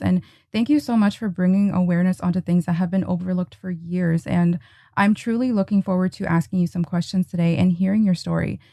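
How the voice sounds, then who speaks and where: Mellow-voiced woman hosting a podcast. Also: lowish voice, vocal fry, dry recording, clean studio sound. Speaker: podcast host. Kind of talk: podcast interview.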